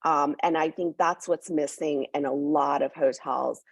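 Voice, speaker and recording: An eager woman from New York talks up a hotel in an interview, full of fillers. Her voice sits low and sounds husky. The recording is dry and close. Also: steady tone, unhurried, dry recording. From born in Hong Kong, raised in New York. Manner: enthusiastic, many fillers. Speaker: hotel representative. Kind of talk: promotional interview.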